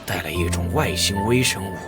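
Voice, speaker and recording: An actor playing a fanatical, slippery mad scientist villain in an animated drama, with theatrical delivery. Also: male voice, sits low, fairly quick. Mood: smug, gloating. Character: smug fanatical male mad scientist villain, cowardly when threatened. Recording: loud background music.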